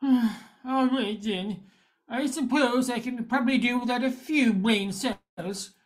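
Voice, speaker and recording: High, halting, befuddled husband, played by a woman. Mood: resigned. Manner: halting, stammering, drawn-out words. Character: befuddled, hesitant husband losing his brain cells. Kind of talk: radio play acting.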